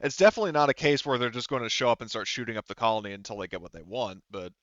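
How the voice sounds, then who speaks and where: A man with a smooth, clear voice runs a tabletop game. He explains the scene casually and restarts phrases as he goes. The recording is dry and slightly muffled. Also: medium pitch, racing, a bit bright, no echo. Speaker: game master.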